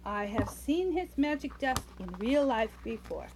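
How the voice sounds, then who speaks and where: Very high-pitched, animated woman storyteller. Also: unhurried, a little hiss or hum. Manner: expressive, sing-song, addresses young children directly. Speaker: children's storyteller. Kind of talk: storybook read-aloud with playful asides to children.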